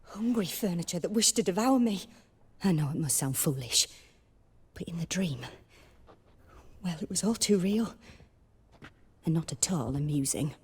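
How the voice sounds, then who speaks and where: An actress playing a shaken, courteous female dream seer in a fantasy video game, speaking formally in a breathy voice. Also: lowish voice, lively intonation, darkish tone. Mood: shaken, groggy. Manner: formal, measured.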